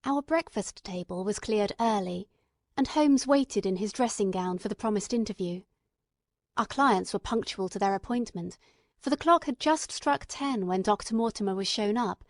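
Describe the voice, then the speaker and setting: A woman reading scripted literary narration as an audiobook narrator, in a measured, deliberate manner. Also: mid-range voice, dry recording, studio quality.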